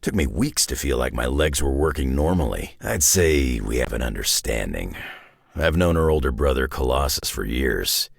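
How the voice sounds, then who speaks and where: Grizzled video game tough guy, extremely low voice, raspy, blunt, dryly wry, swinging inflection. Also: expressive, normal speed, no room sound, full character voice. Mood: dry, gruff. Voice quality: gravelly, raspy growl. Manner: blunt, dry, laconic tough-guy delivery with folksy slang. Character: gruff, grizzled, wry long-lived male mutant brawler. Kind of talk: scripted game character voice lines.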